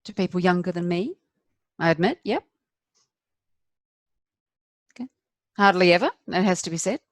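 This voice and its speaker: A middle-aged woman's slightly low voice, close and dry, an actress delivering a confessional theatrical monologue. She is a candid, rueful wife, wry and self-deprecating, and leans on deliberate pauses for emphasis. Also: no room sound, natural voice. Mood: confiding, defensive. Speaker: performer of a dramatic monologue.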